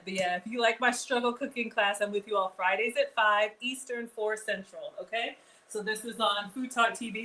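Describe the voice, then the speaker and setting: A woman's voice: medium pitch, fairly bright tone, a little hiss or hum, rough recording. A woman hosting a live-streamed cooking class in a home kitchen, chatting straight to her viewers with casual asides. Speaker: cooking show host.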